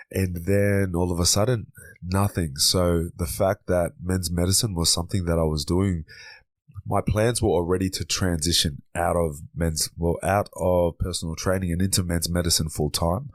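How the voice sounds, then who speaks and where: A deep, low-pitched man in his thirties chats easily as a podcast guest. His delivery is relaxed, and he circles back over words while gathering his thoughts. The sound is dry and close, with no room around it. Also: dry recording. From Australia. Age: 30s. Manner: easygoing, repeats words while thinking. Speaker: podcast guest, men's coach. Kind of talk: relaxed conversational interview.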